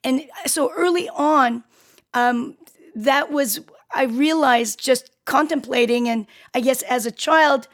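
High-voiced woman host from Korea. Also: slow pace. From Seoul, South Korea. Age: late 50s. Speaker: show host interviewing a guest. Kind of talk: conversational interview.

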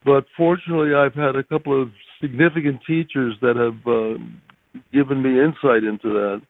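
An older man answering as an interview guest in a reflective, halting way with stammered starts, over a phone-like line. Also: mid-range voice, steady tone.

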